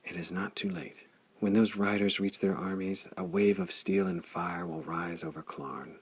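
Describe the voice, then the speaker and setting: A man reading the part of a tender, devoted young woman in an audiobook, speaking in soft, hushed tones. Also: low-pitched, steady tone, moderate pace, slightly rough. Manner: soft, hushed whispering delivery. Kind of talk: audiobook character voice.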